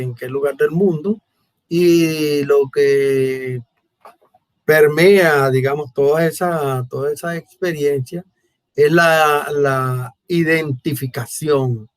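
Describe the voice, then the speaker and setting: Venezuelan man, deliberate and halting interviewer. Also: on the high side, no room sound. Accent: Venezuelan Spanish. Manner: halting, deliberate phrasing with repeated words. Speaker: host, mathematics educator. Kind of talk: conversational online interview. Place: remote online conversation from Brazil.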